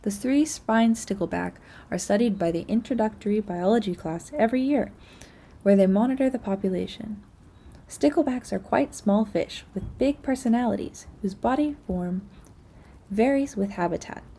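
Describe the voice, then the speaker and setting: A woman reading scripted tour narration in a measured, informative manner. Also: mid-range voice, gravelly, mellow, husky. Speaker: student narrator of an audio nature tour.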